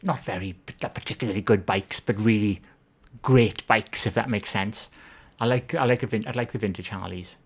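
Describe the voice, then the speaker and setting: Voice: mid-range voice, moderate pace, husky. A live streamer with a Swansea Welsh accent chatting casually, reading viewers' comments and joking at their own expense. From Wales. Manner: chatty, self-deprecating, reads chat aloud.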